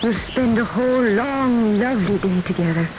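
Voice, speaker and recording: A woman's voice: on the high side, normal speed, close to an everyday voice. An actress playing a lovestruck young woman in a radio drama, tender and conspiratorial. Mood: tender, secretive. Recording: hissy old radio drama broadcast.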